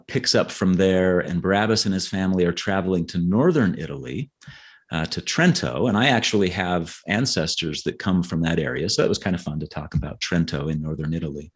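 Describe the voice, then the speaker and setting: A man speaking as an author guest in a conversational interview, explaining in a halting way and often repeating words. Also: low voice, husky. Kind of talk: conversational interview, explaining history and his novels.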